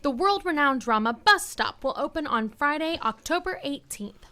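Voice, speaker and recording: High, bright woman announcer. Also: expressive, moderate pace. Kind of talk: scripted promotional announcement.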